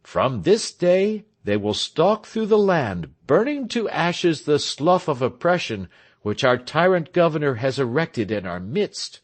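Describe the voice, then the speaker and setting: A bashful, pompous village hero, as a man puts him on, speaks in a voice pitched above his usual one. He addresses the crowd with mock grandeur, as in a storybook read aloud. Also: sing-song, unhurried.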